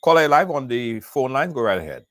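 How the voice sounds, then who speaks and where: A man hosting a live call-in show, brisk with callers and often pausing on fillers. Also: mid-range voice, very animated, fairly bright tone, no echo, clean studio sound. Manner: brisk, talks over guests, hesitant fillers. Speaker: talk show host.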